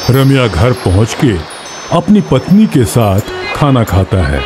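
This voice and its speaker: A man tells a moral story at an unhurried pace. His pitch moves expressively, and music plays behind him. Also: big pitch swings. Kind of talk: scripted moral-story narration. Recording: music bed under the voice.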